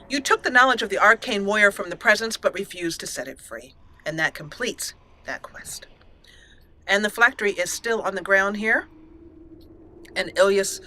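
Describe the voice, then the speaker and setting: A woman narrates her video game playthrough like a story, at a measured pace. Her voice is bright and clear. A faint hiss of noise sits under it. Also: lowish voice. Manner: measured storytelling, with an occasional chuckle. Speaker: let's play host.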